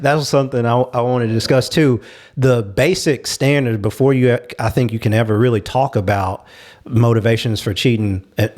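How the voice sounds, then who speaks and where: A man's voice: mid-range voice, a little mellow. A man on a talk show panel, agreeing readily and explaining his points at length in a candid discussion.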